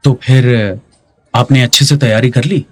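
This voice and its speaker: A man voicing a shrewd, measured businessman in a dubbed drama. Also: medium pitch, fairly even intonation, no echo, natural voice. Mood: measured, appraising.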